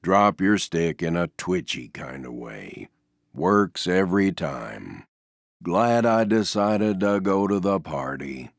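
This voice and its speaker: A man voicing a gloomy, resigned, self-effacing stuffed donkey for a video game, with a mournful, deadpan, sighing delivery. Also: low-pitched, takes it slowly, creaky, dry recording, studio quality, full character voice. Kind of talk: video game character voice lines.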